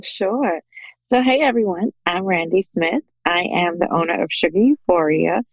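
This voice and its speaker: A woman in her thirties from the Bahamas, telling personal stories conversationally as an interview guest, animated when she quotes people. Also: fairly low pitch, normal speed, dry recording, lo-fi sound. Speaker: podcast guest, small business owner.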